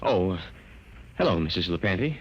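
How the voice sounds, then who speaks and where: Hapless downtrodden American lawyer, hesitant, low voice, swooping intonation, 1950s radio acting, dull old recording. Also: male voice, big pitch swings, brisk, background noise. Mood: awkward. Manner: hesitant, stammering, apologetic. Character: meek, hapless young lawyer, timid and downtrodden.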